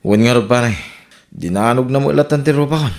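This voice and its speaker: A sheepish, subdued Ilocano fellow, acted by a man in a radio comedy drama. The voice is medium-pitched and gravelly with creak. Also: brisk, a little mellow, natural voice.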